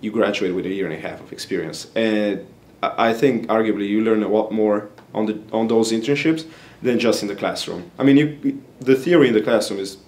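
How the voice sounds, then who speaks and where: A young man from Bulgaria, a graduate student speaking English as a second language, gives interview answers in a somewhat low voice. He explains earnestly and often pauses on fillers. He is recorded close to the camera in a small, plain office. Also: a little mellow.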